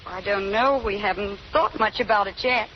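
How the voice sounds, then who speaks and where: A woman's voice: mid-range voice, moderate pace, close to an everyday voice. A woman playing a young, trusting small-town sweetheart in 1930s radio drama, earnest and girlish. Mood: friendly, confident. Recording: muffled, hissy old radio broadcast.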